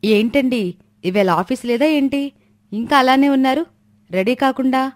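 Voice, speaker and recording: A woman's voice: high-pitched, normal speed, dry recording, clean studio sound, natural voice. A woman voicing a caring, long-suffering housewife in a family storybook tale. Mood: questioning.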